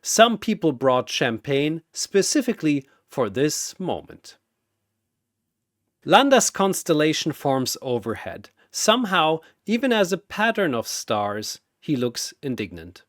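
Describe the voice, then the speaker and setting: Slow, dramatic male story narrator. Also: fairly high pitch, big pitch swings, fairly bright tone, no room sound, clean studio sound. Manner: theatrical, deliberate phrasing.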